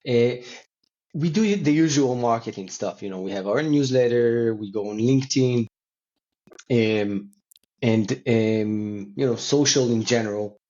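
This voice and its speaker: Man, interview guest, conversational, non-native English phrasing, medium pitch, slightly dull recording. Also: measured pace, dark tone. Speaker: company co-founder and CEO, podcast guest. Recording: slightly dull, band-limited audio.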